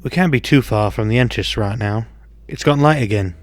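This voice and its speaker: A man's voice: mid-range voice, fairly quick, natural voice. A man playing a practical, weary teenage schoolboy wizard in an amateur fan-fiction audio drama.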